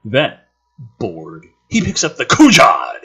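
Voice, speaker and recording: A man with a slightly rough voice reading a script aloud dramatically, dropping in sardonic, profane asides. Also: mid-range voice, wildly swooping pitch, slow, sharp tone. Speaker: host reading a film script aloud with commentary.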